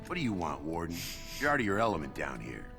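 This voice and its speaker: An actor playing a surly, scornful dwarf thief in a fantasy game, coarse and taunting. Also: male voice, low voice, wildly swooping pitch, quick pace, bright, forceful tone, soft background music, full character voice. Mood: defiant, scornful.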